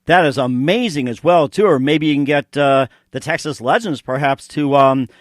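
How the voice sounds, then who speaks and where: Gushing male podcast host, higher-pitched voice. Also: moderate pace, dry recording, clean studio sound. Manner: gushing, effusive. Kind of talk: enthusiastic interview chat with read sponsor plugs.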